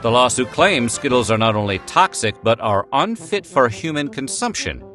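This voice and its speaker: Lively wry male video narrator. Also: medium pitch, normal speed. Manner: wry, jokey asides. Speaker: narrator of a food history video. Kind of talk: playful scripted narration. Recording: music bed behind the voice. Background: background music.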